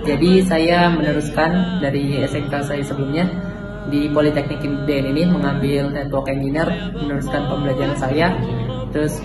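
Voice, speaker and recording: A man's voice: on the high side, fairly even intonation, moderate pace. A young man, a college student, giving a casual testimonial. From Indonesia. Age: young adult. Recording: echoey room, music over voice.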